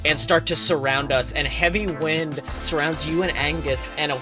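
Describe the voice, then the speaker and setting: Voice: medium pitch, fairly even intonation, moderate pace, music in the background. A game master narrating a tabletop adventure in an informal, improvised way, swearing casually. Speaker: game master and podcast host.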